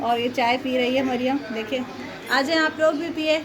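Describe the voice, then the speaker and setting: Chatty young Pakistani woman vlogger, Pakistani Urdu accent, higher voice, noisy home behind her. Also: moderate pace, crowd noise. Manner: chatty, laughs often, many fillers. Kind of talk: casual vlog voice-over.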